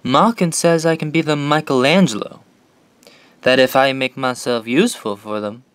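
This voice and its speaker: An actor playing an eager, devoted young painter and asylum patient, informal and fervent, in an audio horror drama. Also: male voice, medium pitch, normal speed, clean tone, dry recording, lightly acted. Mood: fervent, awed.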